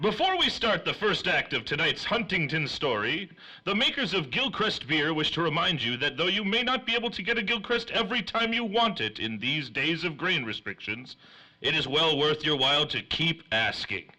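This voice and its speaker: Male radio announcer, 1930s style, husky edge, higher pitch, formal showy delivery. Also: big pitch swings, moderate pace, sharp tone, rough recording.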